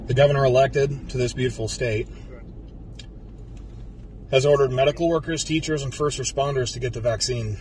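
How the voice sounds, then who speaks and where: An American man in his thirties, a police officer, giving a solemn, deliberate prepared speech to camera in a car. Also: medium pitch, fairly even intonation, darkish tone. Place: inside a car. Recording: slightly distant mic, faint car noise.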